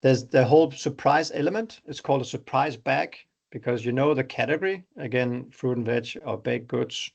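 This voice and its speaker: Danish-accented man with a gravelly voice. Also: normal speed. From Denmark. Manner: explanatory, conversational pitch with examples. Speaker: startup founder presenting. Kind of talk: online webinar presentation.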